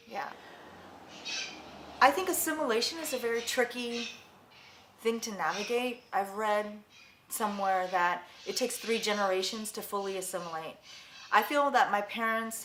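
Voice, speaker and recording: A woman's voice: medium pitch, a bit bright. A woman in her forties, born in Vietnam and raised in Los Angeles, reflecting thoughtfully in an oral history interview as an artist. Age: 40s. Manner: thoughtful, with frequent restarts. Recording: echoey room, faint background noise.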